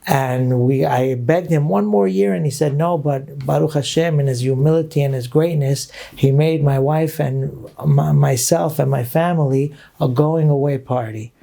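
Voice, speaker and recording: A middle-aged rabbi from Brooklyn, telling personal stories as a guest in earnest, reminiscing talk sprinkled with Hebrew phrases. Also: male voice, fairly high pitch, steady tone, normal speed, gravelly, few pauses, warm tone. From Brooklyn, New York. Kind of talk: storytelling interview talk.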